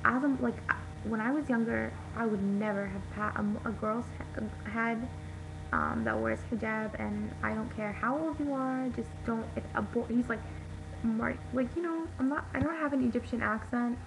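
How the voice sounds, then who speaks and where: A young woman vlogs her opinions in a chatty, frank way, with plenty of fillers and restarts. Her voice has a steady gravelly fry. The home recording carries a clear hiss beneath her. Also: a little high, moderate pace. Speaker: vlogger. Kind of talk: casual opinion monologue to camera.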